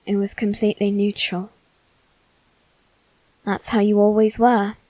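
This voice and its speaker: Slow, halting young aristocratic boy, acted by a woman. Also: medium pitch, little pitch movement, dark tone, dry recording, natural voice. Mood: wistful, tender. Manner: halting, theatrical, formal phrasing. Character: proud, wounded, self-loathing aristocratic boy facing his demon. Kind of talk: ASMR character roleplay, dramatic monologue.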